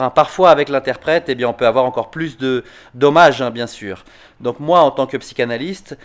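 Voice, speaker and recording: Guy explaining stuff at an online conference, stops and restarts a lot, middle pitch. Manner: hesitant, repeats words, frequent "hein". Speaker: psychoanalyst answering conference questions.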